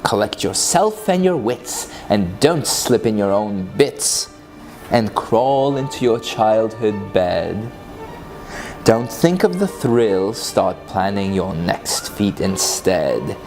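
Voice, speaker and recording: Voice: medium pitch, animated, takes it slowly, darkish tone, soft background music. A mischievous narrator of unclear sex giving a theatrical, gleeful dramatic reading of comic verse. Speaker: author and reader.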